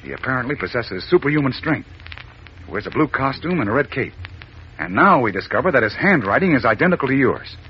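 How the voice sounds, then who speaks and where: Actor as curious bruce wayne, 1940s radio drama, dull broadcast with light hiss. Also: male voice, medium pitch, big pitch swings, fast, close to an everyday voice. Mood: curious, eager.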